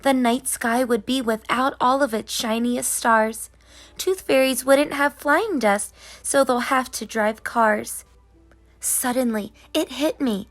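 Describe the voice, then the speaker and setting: A woman reading as a busy, whimsical, gently scolding tooth fairy in a children's storybook, in rhyme. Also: on the high side, slow pace, soft background music. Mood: queasy, worried, then realizing. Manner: sing-song rhyming delivery. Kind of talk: children's storybook narration in character.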